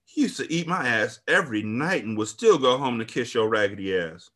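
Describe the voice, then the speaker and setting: A man voicing a furious, foul-mouthed woman in an audiobook drama, loud, sassy and confrontational, bent on revenge. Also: medium pitch, lively intonation, brisk. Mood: vengeful, gloating. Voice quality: loud, raised voice. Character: Alice, furious, foul-mouthed, vengeful woman betrayed by her friend.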